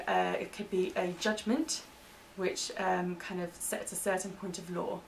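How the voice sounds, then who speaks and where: A woman's voice: lowish voice, vocal fry. A British woman giving informal, loosely hedged explanations in a training interview, as the interviewee. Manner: hedging, informal explanations with "kind of". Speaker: interviewee explaining legal terms for staff training. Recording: distant mic, faint background noise.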